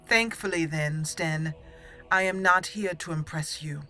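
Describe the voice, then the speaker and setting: A woman's voice: deep voice, measured pace, sharp tone, soft background music. A woman giving voice to her proud elf mage character with cool, cutting retorts, close to her own voice. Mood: defiant.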